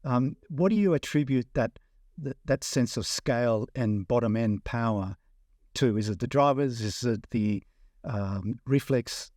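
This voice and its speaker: Dry-sounding male interviewer, medium pitch. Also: measured pace, a little mellow, no room sound. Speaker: interviewer, audio magazine editor. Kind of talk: conversational interviewing.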